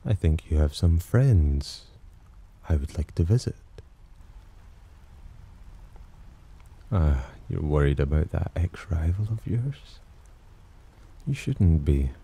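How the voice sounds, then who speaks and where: A man playing a sly, possessive demon in an ASMR roleplay, speaking in a smug, teasing, softly commanding manner with a husky voice. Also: full character voice. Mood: teasing, calm. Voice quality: very deep, dark, husky. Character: possessive, amused, sly male demon protector. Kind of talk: ASMR roleplay, slow intimate acting.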